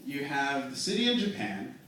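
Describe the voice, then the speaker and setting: A young man in his twenties doing a stand-up routine in a comedy club, telling self-mocking stories in a slow, dry way. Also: mid-range voice, big pitch swings, pushed and bright, a little hiss or hum. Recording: echoey club room miked from a distance.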